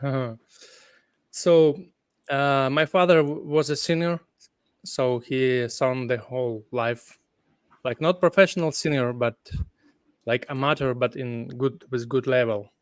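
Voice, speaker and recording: Ukrainian guy on a video call late at night, sleepy, slow, lots of uhs and likes, smooth voice. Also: mid-range voice, steady tone, dry recording. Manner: halting non-native English with many fillers. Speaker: guest guitarist. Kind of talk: casual interview chat.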